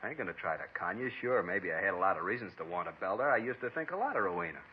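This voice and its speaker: A man's voice: low voice, lively intonation, rapid-fire, a little hiss or hum. An actor playing a defensive, self-pitying ex-con man being questioned, in a 1950s radio drama. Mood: pleading. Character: defensive ex-con man, middle-aged, sullen and evasive. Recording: dull old radio broadcast.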